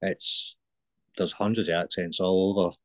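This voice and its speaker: A man's voice: deep voice, steady tone, a little slow, no room sound. A man in his forties with an Edinburgh Scottish accent, a podcast guest giving brief, plain-spoken answers over a video call. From Scotland. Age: 40s.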